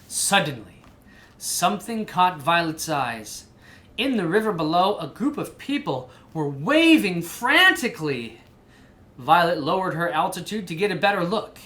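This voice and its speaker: A woman reading a children's storybook aloud in an animated style. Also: fairly low pitch, measured pace. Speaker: storybook reader.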